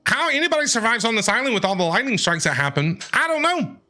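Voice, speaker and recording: Animated high-voiced man streaming a game. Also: fast talker. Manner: chatty, repeats words, talks back to characters. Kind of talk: casual let's-play commentary, reacting to game dialogue. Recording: game music behind voice. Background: video game music.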